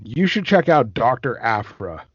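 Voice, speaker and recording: A man hosting a casual interview in an informal, halting way. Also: mid-range voice, big pitch swings, husky, no room sound. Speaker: podcast interviewer. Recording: dry but muffled.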